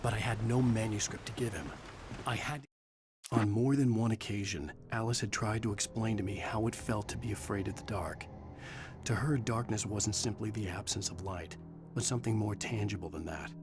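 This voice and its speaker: A man's voice: fairly low pitch, moderate pace, close to an everyday voice. A man playing a haunted, desperate writer in a video game, grave and intense. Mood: brooding narration. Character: haunted, desperate male writer searching for his wife. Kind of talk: video game acting and scripted narration. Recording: music behind the voice.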